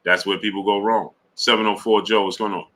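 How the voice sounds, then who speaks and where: A man's voice: fairly low pitch, little pitch movement, fairly quick, fairly bright tone. A man hosting a live-stream boxing show, greeting viewers in the chat and talking through his views with many fillers and restarts, often checking in with "right?". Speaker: sports show host.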